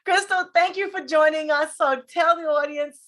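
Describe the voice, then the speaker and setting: A woman's voice: high voice, steady tone, moderate pace, fairly bright tone. An American woman hosting a podcast in a chatty, informal, conversational way. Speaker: podcast host.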